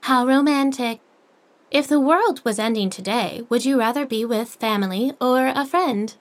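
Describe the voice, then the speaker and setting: A high-voiced, chirpy female AI host, played by a woman from an audio drama. She is relentlessly upbeat and scripted, like a game host working through set phrases. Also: moderate pace, natural voice. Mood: cheerful, polite. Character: chirpy artificial intelligence matchmaking host, female.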